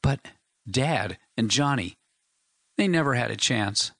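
A man's voice: mid-range voice, lively intonation, slow pace, fairly bright tone, no room sound, clean studio sound, pitched above the performer's own voice, full character voice. A man reading the part of a grieving young farm wife, in a tearful, faltering way. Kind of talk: audiobook character reading.

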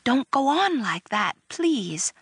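Woman voicing a nervous young servant girl, hushed and breathy, higher voice, dry sound. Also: very animated, slow, no echo, heavily acted. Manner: whispery, hushed.

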